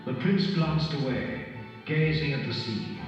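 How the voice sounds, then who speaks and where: A man's voice: a little high, a little slow. A man narrating a children's storybook in a scripted read-along style. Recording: echoey, distant, dull sound under music.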